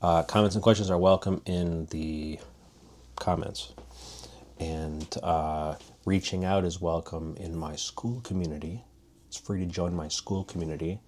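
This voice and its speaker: Casting: man with a low voice, teacher type, chatty and demonstrative, lots of restarts. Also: fairly even intonation, a little slow, a little mellow, slight background noise. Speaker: language teacher. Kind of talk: casual spoken lesson.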